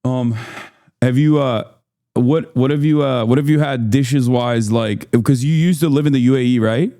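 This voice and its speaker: A man hosting a casual interview, talking in a relaxed, slangy way with lots of fillers. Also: mid-range voice, moderate pace. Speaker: host. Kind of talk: casual interview over a call.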